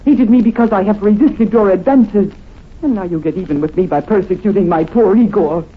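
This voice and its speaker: A fiery, accusing wife played by an actress in a vintage radio play. The delivery is bitter and insistent. The recording is narrow and tinny, like an old telephone. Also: female voice, mid-range voice, a little fast, natural voice. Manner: vehement, insistent. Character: fiery, bitter foreign wife defending her husband. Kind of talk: old-time radio melodrama.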